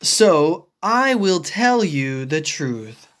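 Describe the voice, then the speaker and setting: A woman voicing a polite, kindly elephant in a read-aloud storybook, speaking in a halting, tactful way. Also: low voice, very animated, full character voice. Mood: careful, apologetic.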